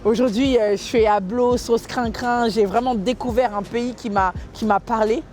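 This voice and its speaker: Slightly high-voiced woman from Guadeloupe. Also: steady tone, moderate pace, a little mellow, soft background music. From Guadeloupe, France. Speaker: food truck co-founder and dietitian. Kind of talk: personal testimony in a documentary interview.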